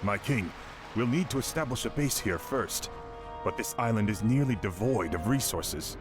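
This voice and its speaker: A man playing a dutiful servant to a dark king in a video game. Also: on the low side, expressive, moderate pace, close to an everyday voice. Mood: worried. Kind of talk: video game cutscene acting. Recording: music behind.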